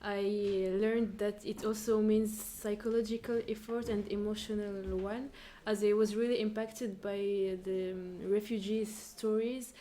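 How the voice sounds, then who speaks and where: A woman's voice: medium pitch, flat delivery, a little slow, mellow, slight background noise. A young woman volunteer from France giving reflective interview answers, hesitant and searching for words. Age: young adult.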